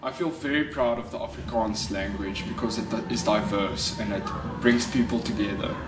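A man's voice: on the low side, normal speed. A man with a South African accent giving hesitant vox pop remarks. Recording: echoey, from far off.

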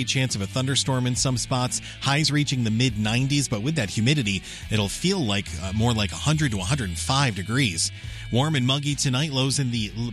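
Male local radio announcer, music under. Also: medium pitch. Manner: steady, informal read.

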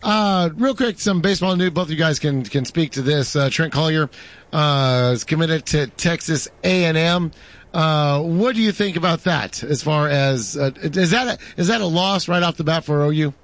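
A man with a raspy voice hosting casual sports talk radio, steering the conversation with quick questions and banter. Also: on the high side, fairly bright tone. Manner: gravelly, banter-driven, quick asides.

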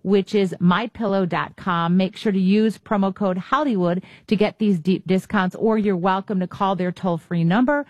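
A woman's voice: mid-range voice, steady tone, normal speed, hardly any pauses, no room sound, dull audio. Woman doing a radio ad read, chatty, dry close mic. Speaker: radio co-host reading an ad. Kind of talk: live ad read.

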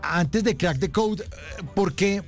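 A man's voice: sits high, takes it slowly. A man hosting a radio interview, with a joking, teasing manner and frequent laughs. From Colombia. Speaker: radio show host. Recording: music playing faintly underneath.

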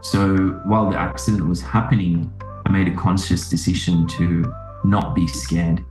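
A man's voice: deep voice, level tone, moderate pace, darkish tone, reverberant, soft background music, rough recording. A man from Sri Lanka, a doctor, giving reflective interview answers with many fillers and restarts. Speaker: podcast guest, doctor.

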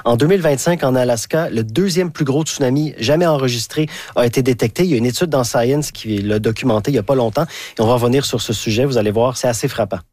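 A man giving a brisk, explanatory science segment on radio. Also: medium pitch, darkish tone, no room sound. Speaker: science columnist. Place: radio studio.

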